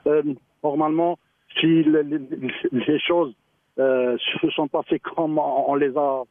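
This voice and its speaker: A man, a local mayor, speaking on a radio phone-in, halting and repeating words, with a choked-up voice. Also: fairly high pitch, steady tone, no room sound. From Algeria. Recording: tinny phone line.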